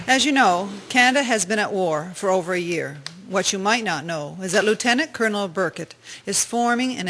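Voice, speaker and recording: Woman playing a composed, formal hospital matron, mid-pitched. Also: a bit bright, natural voice. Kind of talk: radio drama acting.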